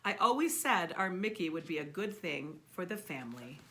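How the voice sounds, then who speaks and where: A woman's voice: lowish voice, big pitch swings, moderate pace, a bit bright, slight background noise. A woman reading the part of a plump, contented father mouse in a storybook, jolly and self-satisfied. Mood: happy, smug.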